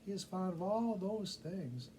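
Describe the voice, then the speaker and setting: A man voicing a small, eager, gossiping cave bat in a storybook reading, announcing news excitedly. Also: high voice, measured pace, slight background noise, pitched above the performer's own voice, poor audio. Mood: eager, informative.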